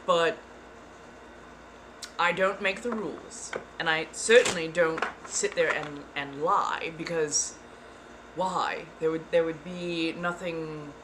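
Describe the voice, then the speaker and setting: Woman, tarot reader, livestream host, put-on persona accent, theatrical, fond of saying darling, lowish voice. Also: background noise. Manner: theatrical, calls listeners darling. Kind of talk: live-streamed tarot card readings.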